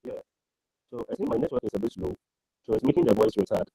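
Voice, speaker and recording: A man's voice: medium pitch, huge pitch swings, quick pace, gravelly, mellow. A man giving a university lecture online, putting questions to his class. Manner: questioning, draws answers from the class. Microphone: online call audio. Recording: dull lo-fi online call audio.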